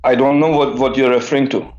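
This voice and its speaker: A man's voice: mid-range voice, fairly even intonation, fast talker, a crowd in the background. A man calling in to a live debate show, hesitant and full of restarts. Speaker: caller. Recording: muffled phone-in line.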